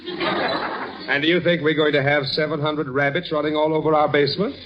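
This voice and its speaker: A man's voice: on the high side, fairly even intonation, moderate pace, noisy background, close to an everyday voice. A man playing a dry, sceptical middle-aged father with formal, sardonic wit in a 1940s radio comedy. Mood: sceptical, exasperated. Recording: dull old radio broadcast recording.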